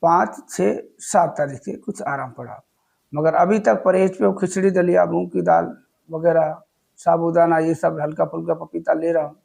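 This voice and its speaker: A man's voice: on the high side, steady tone, moderate pace, gravelly, darkish tone. A man with a sore throat telling an earnest, humble story in a casual devotional talk. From Uttar Pradesh, India. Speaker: devotional video host.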